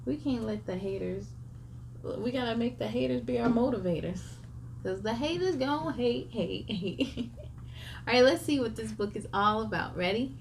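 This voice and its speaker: A woman hosting a children's story time, reading aloud expressively with drawn-out words and talking directly to young listeners. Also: a little high, gravelly, slight background noise.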